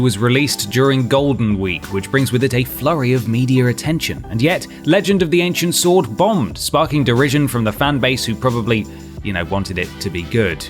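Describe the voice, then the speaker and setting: A man reading scripted narration for a ranked list, with a wry, dry sense of humour. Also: mid-range voice. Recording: music behind the voice. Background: background music.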